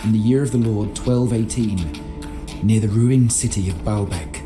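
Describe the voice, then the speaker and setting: Man acting solemn, low-voiced medieval chronicler. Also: fairly even intonation, lightly acted. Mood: grave. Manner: grave, measured storytelling. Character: solemn medieval chronicler reading his campaign journal. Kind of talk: scripted dramatic game narration. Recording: music behind narration.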